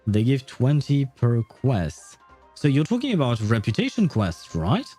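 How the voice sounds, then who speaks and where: A man's voice: mid-range voice, huge pitch swings, a little slow, mellow, slightly rough, soft background music. A man hosting a casual gaming livestream, chatting with viewers in a rambling, hesitant way. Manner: hesitant, rambling, chuckling often. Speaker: livestream host.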